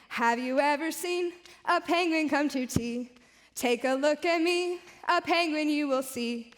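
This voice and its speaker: A young woman leading playful action songs for children. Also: high-pitched. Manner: bright, playful sing-along. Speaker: children's song leader. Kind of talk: singing action songs for kids.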